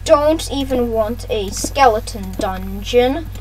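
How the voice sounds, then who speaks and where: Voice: medium pitch, lively intonation, takes it slowly, very noisy. A gaming video host giving casual play-by-play, repeating phrases and talking to viewers. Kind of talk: casual gameplay commentary.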